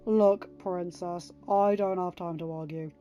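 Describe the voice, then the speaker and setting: A woman puts on a cynical male smuggler for an audiobook. He sounds gruff and mellow, with a slangy, dismissive delivery. He is irritable and out for himself. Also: lowish voice, fairly even intonation, unhurried, highs cut off, soft background music, close to an everyday voice. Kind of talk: dramatised audiobook reading.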